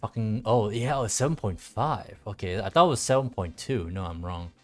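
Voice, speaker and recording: A man's voice: lowish voice, a little fast, faint music behind. A man streaming a game with casual solo commentary, often muttering to himself and casually swearing.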